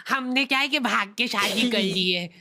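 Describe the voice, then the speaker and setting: A man's voice: high-pitched, moderate pace, noisy background, voice pushed down. A man voicing a naive, earnest teenage runaway groom in a comedy cartoon. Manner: earnest, childishly clueless. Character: naive underage runaway groom seeking to buy a baby.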